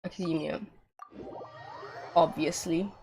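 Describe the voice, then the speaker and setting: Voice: mid-range voice, fairly even intonation, slow pace, darkish tone, breathy edge. A streamer casually commentating on a game playthrough in blunt, muttered asides. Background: game music.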